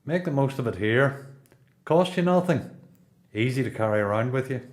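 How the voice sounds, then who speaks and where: A seasoned male prisoner , acted by a man for a radio play. His voice sits at a middle pitch, and he speaks with reflective, questioning self-assurance. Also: expressive, moderate pace, natural voice. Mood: matter-of-fact. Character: seasoned male prisoner, philosophical, probing, sure of himself.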